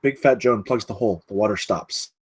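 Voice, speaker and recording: A man's voice: fairly low pitch, brisk, slightly rough. A man reading scene directions as the narrator of a live screenplay table read.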